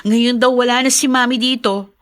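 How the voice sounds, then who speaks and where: A woman's voice: on the high side, fairly even intonation, moderate pace, fairly bright tone, close to an everyday voice. A woman acting an indignant, spoiled young daughter in a radio drama, with a dramatic, complaining delivery.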